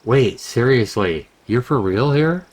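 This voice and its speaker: A man's voice: medium pitch, lively intonation, slow, pitched above the performer's own voice. A man performs a wary young adventurer from a fantasy game. He is the cautious friend, grumbling and exasperated in how he talks. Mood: incredulous.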